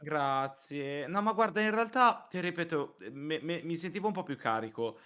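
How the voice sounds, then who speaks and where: An Italian man chatting casually in an excitable way, often repeating phrases in bursts. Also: on the high side, normal speed, a crowd in the background. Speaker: voice performer in a live reading stream. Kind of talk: casual post-show debrief chat.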